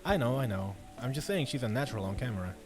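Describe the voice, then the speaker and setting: An animated young guy hosting his own channel, acted by a man, friendly and a bit chaotic. His visual novel lines bounce with joking enthusiasm over loud music. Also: mid-range voice, fast, lightly acted. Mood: earnest, eager. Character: eager, chaotic, friendly young male online show host. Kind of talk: fan-dubbed visual novel acting. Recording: voice under loud background music.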